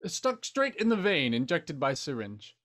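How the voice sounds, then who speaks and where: A man's voice: fairly high pitch, sing-song, a little slow, a bit bright. Man playing a breezy, blunt old army doctor. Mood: blunt, amused. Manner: breezy, matter-of-fact, folksy. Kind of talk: audio drama acting.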